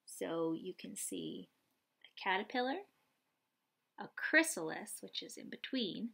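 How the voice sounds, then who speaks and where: A young adult woman reading aloud and explaining gently, as a teacher does for young children. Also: medium pitch, animated, measured pace, gravelly, breathy edge. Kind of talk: children's read-aloud and lesson. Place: small lamp-lit room at home, indoors.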